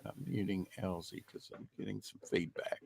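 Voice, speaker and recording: Rambling slightly raspy male host. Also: deep voice, fairly even intonation, moderate pace, darkish tone. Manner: rambling, filler-heavy, trails off. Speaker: podcast host. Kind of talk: casual chat.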